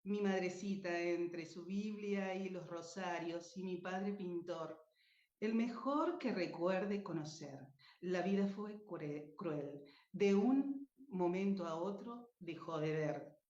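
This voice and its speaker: A woman's voice: lowish voice, darkish tone. Socorro, troubled old dreamer, acted by a woman, echoey. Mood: lost, anguished. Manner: slow, dramatic, wistful. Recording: echoey room, dull muffled sound.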